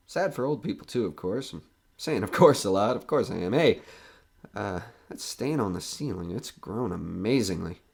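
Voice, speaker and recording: A man playing a nervous radio reporter who is coming unravelled in a horror audio drama, talking in a halting, rambling way. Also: fairly low pitch, darkish tone, natural voice. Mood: lonely, brooding.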